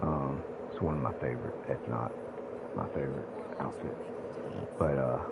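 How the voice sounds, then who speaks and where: A man's voice: very deep voice, fairly even intonation, normal speed, vocal fry, very noisy. A man, rambling casually to viewers on a gaming livestream. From South Carolina, United States. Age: late 40s. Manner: rambling, addresses viewers directly. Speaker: live streamer. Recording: music playing behind the voice.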